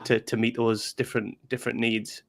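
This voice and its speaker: A man's voice: mid-range voice, flat delivery, brisk, gravelly, a bit bright. A man hosting a podcast, asking casual questions with friendly curiosity and stammering restarts. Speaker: podcast host.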